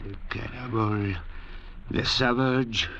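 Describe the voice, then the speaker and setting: Sharp, sneering castaway ruffian. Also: male voice, lowish voice, fairly even intonation, slow. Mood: bitter, suspicious. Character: hot-tempered, bitter castaway ruffian, sneering and taunting. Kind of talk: old-time radio drama acting. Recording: dull, noisy vintage radio recording.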